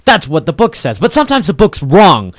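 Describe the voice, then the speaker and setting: Tough cynical 40s radio detective, clipped wisecracks, dry close sound. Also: male voice, on the high side, wildly swooping pitch, a little fast, no room sound. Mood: combative, sardonic. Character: hard-boiled, wisecracking male private eye of the waterfront. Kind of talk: 1940s radio noir acting and narration.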